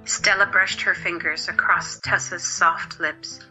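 A woman's voice: lowish voice, steady tone, measured pace, sharp tone, faint music behind, poor audio. A woman narrating a scripted story as the narrator of an audio drama.

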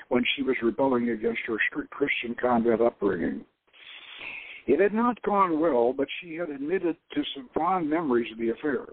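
A man's voice: mid-range voice, breathy edge, dry recording. A man reading a novel aloud as dramatic narration, occasionally stumbling and repeating words. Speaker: host reading his own novel. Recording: thin phone-like radio line.

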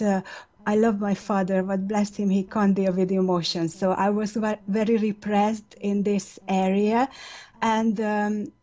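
A middle-aged woman from Hungary with a Hungarian accent, a guest on a radio interview, speaking thoughtfully with frequent pauses. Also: medium pitch, mellow, muffled recording.

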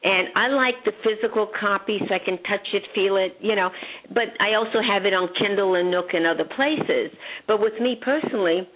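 A woman's voice: medium pitch, brisk. A woman from Hungary, a psychic guest, talking in a rambling, chatty way and laughing often. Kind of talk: casual interview chat. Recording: phone-line audio.

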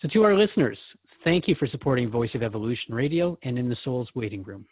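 A male radio host with a medium-pitched voice, recorded dry and close. He speaks conversationally, with an earnest and reflective manner, as if thinking questions through. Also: lively intonation, quick pace, no echo, phone-quality sound. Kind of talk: conversational interview with a spirit guide.

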